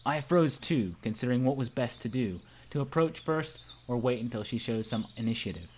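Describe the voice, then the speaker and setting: A man's voice: mid-range voice, expressive, fairly quick, darkish tone, slight background noise. A young man reading visual novel narration aloud in an amateur, dramatic way, as narrator of a let's play.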